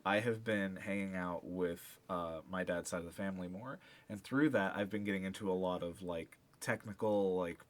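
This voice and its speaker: A man's voice: sits low, normal speed, hardly any pauses. A man co-hosting a podcast, chatting casually about music in a slangy, rambling way peppered with filler words. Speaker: podcast co-host.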